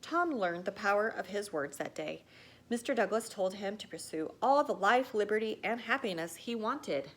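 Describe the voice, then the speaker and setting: A woman reading a children's picture book aloud like a teacher, with expressive, theatrical storytelling. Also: medium pitch, moderate pace, noisy background.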